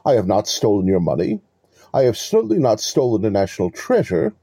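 An actor in a mystery audio play, voicing a wily relic con artist who talks in a measured, formal, condescending way. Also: male voice, fairly low pitch, huge pitch swings, warm tone, husky, dry recording, studio quality, exaggerated voice. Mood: smug, scornful.

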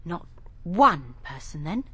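A woman's voice: on the low side, sing-song, takes it slowly, fairly bright tone, breathy edge, no echo, heavily acted. An actress playing a prickly, disdainful woman over thirty in an audio play, blunt and full of probing questions. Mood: probing, suspicious. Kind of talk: two-person audio play acting.

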